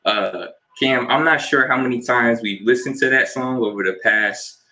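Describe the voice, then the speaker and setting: A young man from New Jersey co-hosting a casual podcast, relaxed and easygoing as he gives shout-outs and asks questions. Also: mid-range voice, moderate pace, poor audio. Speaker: podcast co-host.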